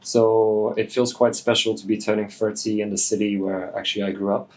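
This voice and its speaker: A man in his late twenties, French-born and raised in Hong Kong, talking in a relaxed, thoughtful way as a DJ guest, with many fillers. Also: fairly low pitch, brisk. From France, raised in Hong Kong. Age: 20s. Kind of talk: casual interview.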